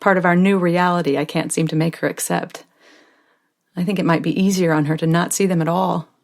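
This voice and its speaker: An American woman playing a stressed, devoted mother of a small daughter in a first-person audio drama, speaking in a confiding, expressive way that moves between tenderness and exasperation. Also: fairly low pitch, fairly quick, creaky. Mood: wistful.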